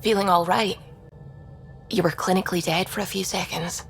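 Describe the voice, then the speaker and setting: A woman playing a caring, wry ship's doctor in video game dialogue, speaking gently. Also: fairly low pitch, fairly even intonation, moderate pace, vocal fry, faint music behind. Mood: concerned, wry.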